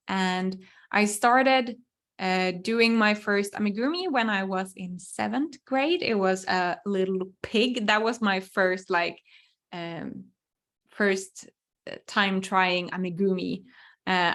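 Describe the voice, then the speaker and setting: A young woman, speaking English as an at a slow, easy pace. She answers viewer questions through a computer mic, pausing to think aloud with frequent ums and small restarts. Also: medium pitch, creaky, a bit bright. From Finland. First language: Swedish. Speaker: webinar host, crochet designer. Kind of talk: live webinar Q&A.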